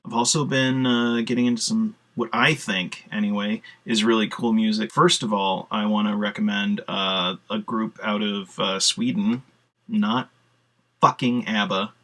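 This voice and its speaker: A man's voice: fairly low pitch, steady tone, measured pace, a bit bright. A man chatting casually on his video blog, rambling with lots of "uh" fillers and casual swearing. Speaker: video blogger. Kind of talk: casual solo vlog talk.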